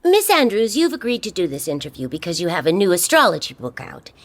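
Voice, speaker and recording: An actress playing a brisk, sceptical television reporter with a pointed, sardonic manner in a radio comedy. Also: female voice, lowish voice, wildly swooping pitch, heavily acted. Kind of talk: radio comedy drama acting.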